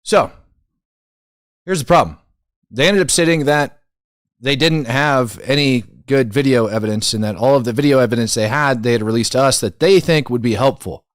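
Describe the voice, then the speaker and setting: A man's voice: mid-range voice, moderate pace, no echo, clean studio sound. Man giving emphatic, casual news commentary. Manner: conversational, incredulous, emphatic repetition. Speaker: commentary show host.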